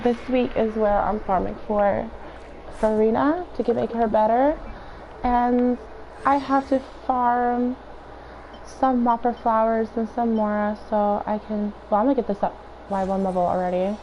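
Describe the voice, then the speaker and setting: A woman streamer with a hoarse, raspy voice, rambling through casual commentary while she plays. Her voice sits a little high, and the stream audio is dull and lo-fi with a faint hiss underneath. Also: fairly even intonation, unhurried, mellow. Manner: rambling, chatty, thinking aloud. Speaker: game streamer.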